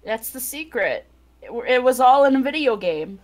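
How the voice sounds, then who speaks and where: Lady joking around during a game readthrough, highish voice, super lively. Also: normal speed. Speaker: guest in a group playthrough. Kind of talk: casual joking chat.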